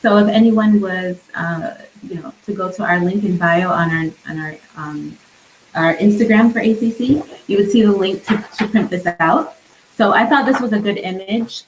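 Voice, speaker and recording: A woman's voice: medium pitch, moderate pace. A woman casually hosting a livestream and interviewing, with hesitant, informal phrasing. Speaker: event host and interviewer. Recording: roomy echo, faint background noise.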